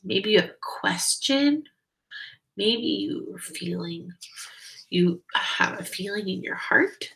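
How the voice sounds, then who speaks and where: A woman kindergarten teacher giving a read-aloud lesson, explaining things simply and guiding young children with questions, with deliberate, emphatic phrasing. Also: mid-range voice, expressive, creaky, fairly bright tone, a little hiss or hum, low-quality recording.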